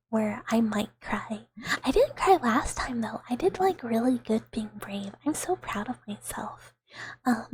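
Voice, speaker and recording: Young woman for whispered ASMR stream, very breathy, high, unhurried, giggly. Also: dry recording. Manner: soft whispering, giggly, playful squeaky outbursts. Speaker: VTuber streamer. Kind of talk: whispered ASMR livestream chat.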